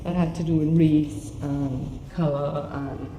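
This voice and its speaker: A woman's voice: low-pitched, fairly even intonation, slow pace, dark tone. A woman in her fifties with a Trinidadian accent, giving an informal, conversational artist talk about her own memories. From Trinidad and Tobago. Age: 50s. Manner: conversational, often checking in with "you know". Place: gallery talk in a room. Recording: echoey room, noticeable background noise.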